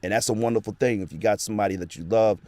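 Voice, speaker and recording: A man's voice: fairly low pitch, racing, a little mellow, dry recording. A man telling his story in a casual interview, earnest and rambling with lots of fillers, into a home studio microphone. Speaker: guest, actor and voice actor.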